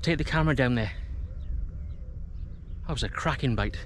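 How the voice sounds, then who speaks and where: A man's voice: mid-range voice, normal speed, slight background noise. A man in his thirties chatting casually to camera while fishing outdoors on a rocky seawall, commenting on the fish and his bait. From Wales. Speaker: fishing vlogger. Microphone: camera on a tripod at a short distance.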